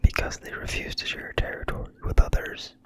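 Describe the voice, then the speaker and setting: A narrator reading from a book entirely in a quiet, breathy whisper, slowly and deliberately, in ASMR style. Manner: slow, deliberate, hushed reading with pauses. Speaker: narrator reading from a fantasy game manual.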